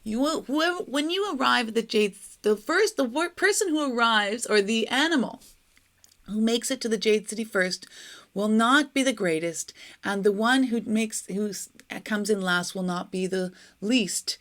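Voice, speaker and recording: A woman voicing a wise, solemn Buddha in a storybook, proclaiming in measured phrases. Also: on the high side, fairly bright tone.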